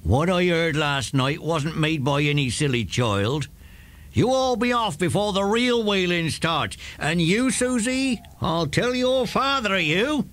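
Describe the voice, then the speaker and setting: An actor playing a gruff but kindly country shepherd who scolds, in a children's audio drama. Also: male voice, high-pitched, animated, measured pace, a bit bright. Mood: stern.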